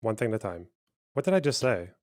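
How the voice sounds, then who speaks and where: A man's voice: lowish voice, sing-song, quick pace, a little mellow. A man hosting a heated online debate from his stream, combative and pressing, often cutting in to demand answers.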